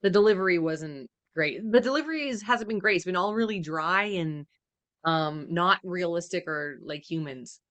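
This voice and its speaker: Female podcast co-host: bright, forceful, mid-pitched, outspoken and conversational, dry close sound. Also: normal speed, dry recording. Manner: animated, blunt, opinionated. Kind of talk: casual reaction chat.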